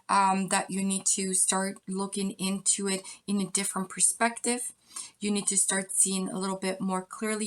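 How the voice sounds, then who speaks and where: A woman giving a pick-a-card tarot reading, speaking straight to the viewer in a coaching tone with frequent ums. She is losing her voice. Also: mid-range voice. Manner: warm direct address with many ums, coaching tone.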